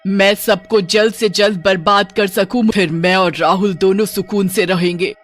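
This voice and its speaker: A woman acts out a vengeful witch bride from a spooky storybook tale. She gloats and menaces in slow, drawn-out threats, breaking into cackles, over a music bed. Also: mid-range voice, fairly even intonation, lightly acted. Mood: power-hungry, menacing.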